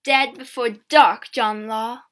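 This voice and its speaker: A woman voicing an angry, scornful, demanding girl in a storybook, with a loud, sharp delivery. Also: mid-range voice, steady tone, normal speed, lightly acted. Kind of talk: storybook character acting.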